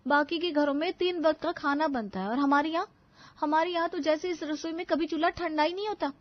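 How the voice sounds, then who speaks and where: A woman voicing an exasperated, sharp-tongued young daughter-in-law in a cartoon family story, complaining emphatically and sarcastically. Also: high-pitched, a little fast, no room sound, lo-fi sound, close to an everyday voice. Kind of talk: animated family story acting.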